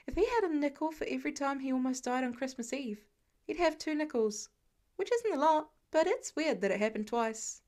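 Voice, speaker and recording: A woman's voice: high-pitched, darkish tone. A woman narrating a scripted piece of fiction as an audiobook reader. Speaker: podfic narrator.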